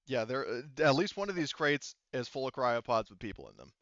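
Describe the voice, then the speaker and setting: Guy running a tabletop rpg, casual and halting, clear voice, pretty dry audio. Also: medium pitch, very animated, fairly bright tone, no room sound, highs cut off. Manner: halting, informal. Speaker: game master. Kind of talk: casual improvised narration of a tabletop game.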